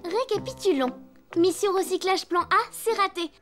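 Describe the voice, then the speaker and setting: A woman's voice: very high voice, moderate pace, fairly bright tone, faint music behind. A woman voicing an upbeat, determined little girl in a cartoon, talking like an encouraging young team leader. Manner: bossy, encouraging, mission-leader talk. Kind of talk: French cartoon dubbing.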